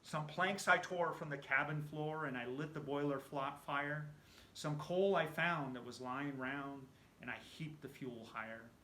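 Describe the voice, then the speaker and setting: Bright-voiced man reciting dramatically in echoey room. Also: on the high side, unhurried, slight background noise. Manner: animated, theatrical storytelling with hushed phrase endings. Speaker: amateur poetry reciter. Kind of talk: dramatic recitation of a narrative poem.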